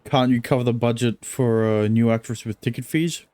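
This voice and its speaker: A man's voice: medium pitch, fairly even intonation, normal speed, mellow, clear, resonant tone, no echo. A man streaming a game, improvising casual, joking replies to the dialogue with a stammering delivery.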